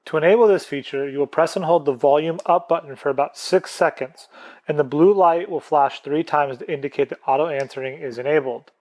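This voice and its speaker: Male product presenter, voice a touch high, plain step-by-step tutorial delivery. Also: a little fast, a little mellow.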